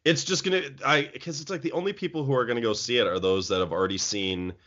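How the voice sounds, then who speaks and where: A man's voice: mid-range voice, fast talker, a bit bright, highs cut off. A man co-hosting a podcast in casual discussion, rambling with frequent restarts. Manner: rambling, many restarts and "it's like". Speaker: podcast co-host.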